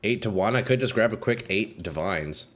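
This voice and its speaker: A young man doing casual live commentary while playing a game, a bit congested and clearing his throat often, swearing and talking to chat. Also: fairly low pitch, expressive, normal speed, fairly bright tone. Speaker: game streamer.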